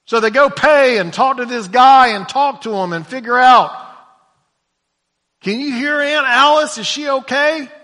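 A man preaching a sermon, dropping to hushed low lines and rising to loud shouted emphasis, often repeating words as he goes. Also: measured pace, big-room sound. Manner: repeats words when stumbling.

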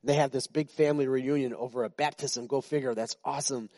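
A man's voice: medium pitch, steady tone, quick pace, mellow, no room sound, studio quality. A man in his sixties, a pastor giving an informal, chatty sermon to his church, joking with the congregation and often restarting his sentences. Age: 60s.

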